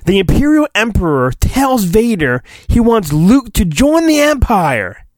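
Animated man giving a hammy comic reading. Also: high-pitched, unhurried, no echo, studio quality. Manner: hammy delivery broken by joking, profane asides. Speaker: podcast host. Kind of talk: comedic dramatic storybook reading.

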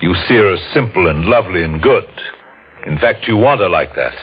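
An actor playing a suave, sinister devilish schemer with formal, silky, taunting speech, in an old-time radio horror drama. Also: male voice, sits low, expressive, fairly quick, breathy edge. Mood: smugly taunting. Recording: muffled vintage radio broadcast, faint noise.

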